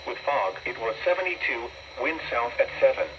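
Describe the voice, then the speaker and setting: Casting: man as weather radio announcer, scripted delivery, average pitch, buried in loud noise. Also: lively intonation, moderate pace, muffled recording. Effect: radio broadcast. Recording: very noisy radio playback with clicks.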